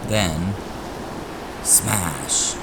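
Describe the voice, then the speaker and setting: A man's voice: deep voice, drawn-out words, noisy background. A man reading scripted narration for an audio drama, as the storyteller.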